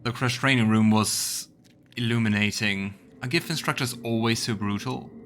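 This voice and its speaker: A man's voice: medium pitch, steady tone, moderate pace, a bit bright, a little hiss or hum. A man hosting a let's play, commenting casually and reading dialogue choices aloud.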